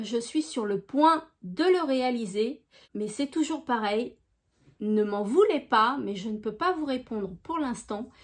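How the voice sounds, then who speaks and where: A woman from France hosting her own channel, chatting gently through viewer questions with many small hesitations. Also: fairly high pitch. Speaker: YouTube crafts channel host. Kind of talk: casual FAQ video answering viewer questions.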